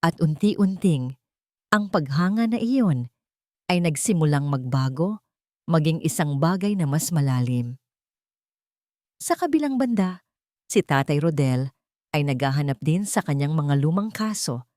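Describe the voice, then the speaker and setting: A woman's voice: low voice, animated, measured pace, pauses often, darkish tone, no room sound, clean studio sound. A woman reading a scripted romantic drama as a story narrator.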